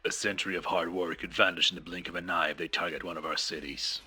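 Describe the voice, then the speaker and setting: An amateur actor in a fan dub playing a grave, scheming military colonel with a rough voice and a weighty, ominous, formal delivery. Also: male voice, low-pitched, fairly even intonation, fast, pushed and bright, soft background music, exaggerated voice. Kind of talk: amateur fan dub game drama acting.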